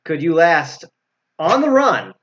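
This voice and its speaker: Animated, joking man with swooping pitch. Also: slow pace. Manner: jokey, tosses in short quips. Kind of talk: casual joking chat. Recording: dull lo-fi audio with clear noise.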